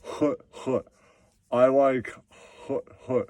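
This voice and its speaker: A man's voice: medium pitch, little pitch movement, takes it slowly, a little mellow, no room sound, close to an everyday voice. A man voicing a dim-witted puppet movie critic, breaking up phrases with repetitive grunting chuckles. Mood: dim, amused.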